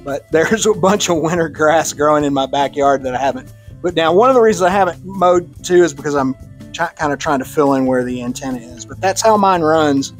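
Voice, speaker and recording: A man's voice: fairly high pitch, a little fast, soft background music. A man with a Texan accent giving a casual, conversational technical talk to a radio club, with easy fillers and restarts. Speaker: club presenter on radio antennas. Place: club meeting room.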